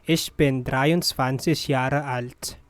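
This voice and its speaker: A language teacher reading a scripted lesson of short phrases and translations, deliberately repeating each one for learners. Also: medium pitch, fairly even intonation, no echo, studio quality.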